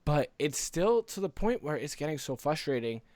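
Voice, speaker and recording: A man's voice: a little high, big pitch swings, normal speed, a little mellow, dry recording. Earnest man chatting, dry close sound. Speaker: podcast co-host. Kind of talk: casual gaming podcast chat.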